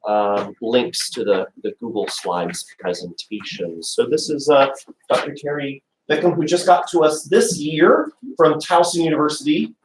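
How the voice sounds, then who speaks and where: A man's voice: mid-range voice, moderate pace, a little hiss or hum. A man hosting a choir workshop, casual and full of fillers, wrapping up and introducing a guest. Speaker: artistic director, music reading teacher. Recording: echoey room, mic far off.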